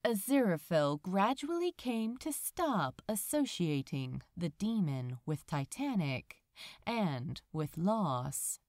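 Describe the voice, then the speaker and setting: A woman reading fiction aloud as a narrator, in an expressive, measured storytelling manner. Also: lowish voice, no room sound, studio quality. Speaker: podfic narrator.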